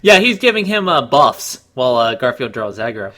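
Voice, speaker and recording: A man's voice: mid-range voice, very animated, normal speed, sharp tone. A man giving casual, amused comic commentary as co-host of a story reading, riffing as it goes.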